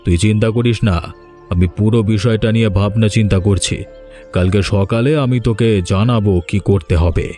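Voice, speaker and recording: A man's voice: fairly low pitch, fairly even intonation, normal speed, dark tone, soft background music, natural voice. A man playing a scheming, domineering older village landlord in a cartoon, with a commanding, condescending manner. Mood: menacing. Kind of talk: Bengali cartoon voice acting.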